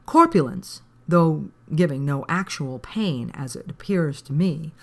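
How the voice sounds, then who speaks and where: A woman reading an old Victorian text aloud like an audiobook, with measured, deliberate phrasing. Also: lowish voice, fairly bright tone. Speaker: podcast host reading an old book aloud.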